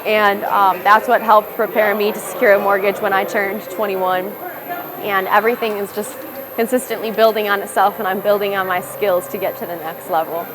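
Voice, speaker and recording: A woman's voice: mid-range voice, little pitch movement, normal speed, a crowd in the background, low-quality recording. A young woman with a thick Midwestern accent, a rapper speaking candidly in a documentary interview and often restarting her words. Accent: Midwestern American. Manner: candid, reflective, self-correcting restarts. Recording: noticeable background noise.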